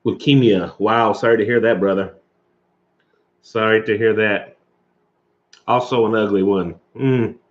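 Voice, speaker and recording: A man's voice: fairly low pitch, fairly even intonation, unhurried, pauses often, dull audio. A man hosting a live stream, chatting loosely with viewers, chuckling often and coughing from allergies. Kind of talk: casual chat with live viewers.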